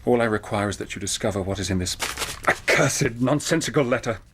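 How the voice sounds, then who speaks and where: An actor in a radio drama playing a distraught young English gentleman, a grieving son. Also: on the low side, a little fast, noisy background, close to an everyday voice. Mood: subdued, weary.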